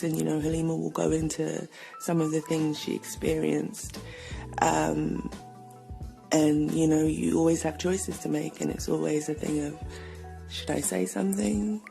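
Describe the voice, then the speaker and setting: A woman hosting a podcast speaks low and steady, with hardly any rise and fall in her voice. Her tone is mellow and dark, with a gravelly edge. She talks in a reflective, conversational way, and faint music sits under her voice. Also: rough recording. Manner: reflective and conversational, with frequent fillers. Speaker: podcast host. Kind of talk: solo episode introduction.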